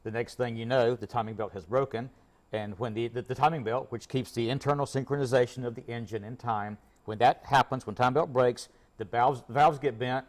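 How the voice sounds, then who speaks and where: A man's voice: mid-range voice, steady tone, brisk. A man speaking as a guest expert in a casual interview, explaining things in a plain-spoken, folksy way. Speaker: auto repair shop owner, guest expert.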